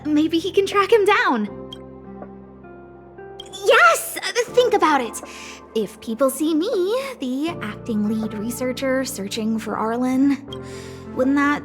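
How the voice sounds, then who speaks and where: Casting: cutesy, bossy young female game scientist, very high voice, unhurried delivery. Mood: playful, pleading. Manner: expressive, dramatic, slightly haughty. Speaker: voice actor in a video game. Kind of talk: animated game character dialogue. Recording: studio voice over soft game music.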